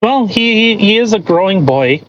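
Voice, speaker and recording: A man with a voice a little higher than average talks casually as a podcast co-host. He is sarcastic and argumentative, picking things apart in a mocking way. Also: animated, normal speed, highs cut off, slight background noise. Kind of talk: casual chat, mocking commentary on a post read aloud.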